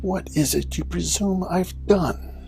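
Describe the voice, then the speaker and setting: A sly devil of a gentleman for a cartoon. He drawls slowly and theatrically with breathy fry in his voice, falsely kind and mock-courteous, over faint music. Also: sits high. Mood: amused, condescending.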